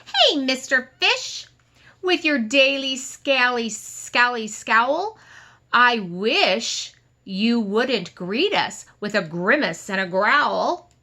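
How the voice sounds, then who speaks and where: A friendly, floating storybook jellyfish, played by a woman. He pleads playfully in a high, bright voice at an unhurried pace. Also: very animated, heavily acted. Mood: pleading.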